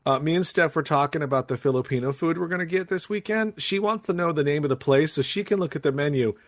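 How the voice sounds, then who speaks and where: A man's voice: on the high side, quick pace, dry recording, clean studio sound. A man co-hosting a casual podcast, teasing and laughing, with the odd swear. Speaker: podcast co-host.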